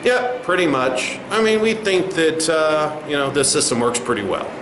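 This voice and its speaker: A smug corporate spokesman played by a man talks down to a crowd in a comedy sketch. His pitch is high and swoops widely, and his patronizing patter echoes in a roomy space. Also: lively intonation, heavy background noise, close to an everyday voice. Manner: smug, patronizing, halting asides. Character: smug, condescending male corporate spokesman addressing angry protesters. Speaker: company spokesman. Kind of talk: satirical sketch, mock press conference. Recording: roomy echo, faint music behind.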